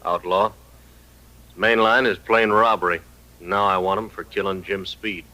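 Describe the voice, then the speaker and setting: An actor playing a young, laconic deputy marshal in an old-time radio western, firm and matter-of-fact. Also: male voice, sits low, fairly even intonation, moderate pace, bright, forceful tone, low-quality recording.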